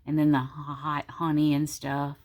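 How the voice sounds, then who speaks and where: A woman's voice: completely flat delivery, normal speed, darkish tone. A woman, sick and congested with a sore throat, chatting tiredly to viewers from her desk at home. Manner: tired, low-energy, rambling. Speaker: planner vlogger. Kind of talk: casual vlog talk to viewers.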